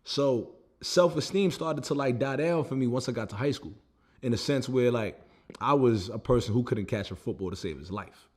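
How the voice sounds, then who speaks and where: A young American man talking straight to camera about his own setbacks. His delivery is loose and conversational, full of fillers and restarts, at a mid-range pitch. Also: moderate pace, darkish tone, slightly rough. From United States. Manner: loose, conversational, full of fillers like "you know what I mean". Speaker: vlogger. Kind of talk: casual personal storytelling.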